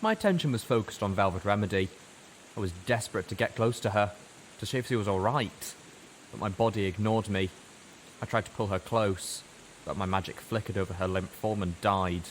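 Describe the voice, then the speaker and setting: A man narrating first-person fiction dramatically, with weighty delivery and deliberate pauses. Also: fairly low pitch, rough recording. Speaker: story narrator. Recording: faint background hiss.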